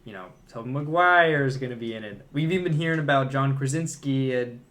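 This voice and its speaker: A man's voice: mid-range voice, fairly quick, a bit bright. A man in casual conversation as a podcast co-host discussing films.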